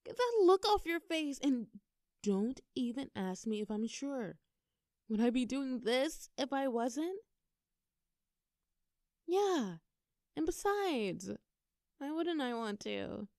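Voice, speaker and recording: A woman's voice: sits high, big pitch swings, slow, long pauses, darkish tone, no room sound, clean studio sound, exaggerated voice. A young woman playing a bubbly, affectionate girlfriend in an intimate audio roleplay, talking playfully and tenderly to her partner in a coaxing, conversational way. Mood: affectionate, insistent.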